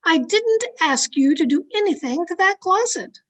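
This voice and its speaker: A woman's voice: very high voice, expressive, moderate pace. A woman playing an anxious, guarded mystery novelist in an old-time radio drama, with a formal, theatrical delivery. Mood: sharp, commanding. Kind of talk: old-time radio drama acting, first-person suspense narration. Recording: remote video-call recording with music behind.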